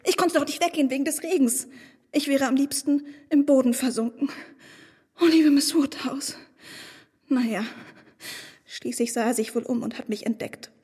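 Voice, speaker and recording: An actress playing a timid, excitable young woman in a radio play, telling a story in a breathless, flustered way. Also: high voice, moderate pace, pauses often, close to an everyday voice. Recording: radio play with long reverb.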